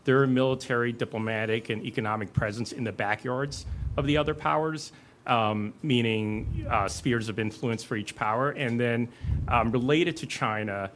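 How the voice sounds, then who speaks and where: A man's voice: medium pitch, normal speed, fairly bright tone, slight background noise. A man asking a reporter's long, careful question at a press conference.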